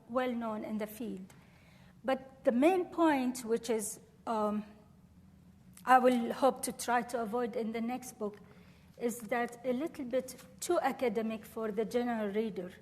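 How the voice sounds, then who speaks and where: A woman from Syria whose first language is Arabic, giving a measured, earnest university lecture and answering questions. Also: on the high side, a little mellow, in a large hall. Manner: measured, earnest, formal phrasing. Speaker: visiting scholar and lecturer. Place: lecture room.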